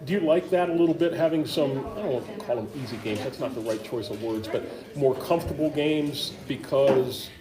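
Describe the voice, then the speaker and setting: A man's voice: medium pitch, a little fast. A middle-aged man hosting a local sports interview show, asking long, rambling questions with lots of fillers. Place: high school gym. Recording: echoey gym with crowd noise.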